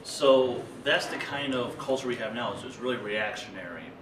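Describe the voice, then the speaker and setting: A man's voice: mid-range voice, normal speed. Workshop speaker, man in his thirties, gesturing, casual explainer; noisy distant room sound. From rural Illinois. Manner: animated, gesturing, loose conversational explaining. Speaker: invited speaker. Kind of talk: conference workshop talk. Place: meeting room at a table. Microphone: far camera. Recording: echoey room from far off, noisy.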